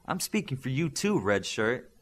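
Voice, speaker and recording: A man's voice: medium pitch, sing-song, moderate pace, a bit bright. A man voicing a wry, teasing young female superhero in an audiobook, with dramatic, bantering delivery.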